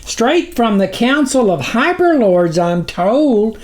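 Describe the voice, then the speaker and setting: A man voicing a tiny, nervous demon underling in a squeaky voice, fawning with flowery, deferential speech for a storybook. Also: very animated, slow pace, heavily acted.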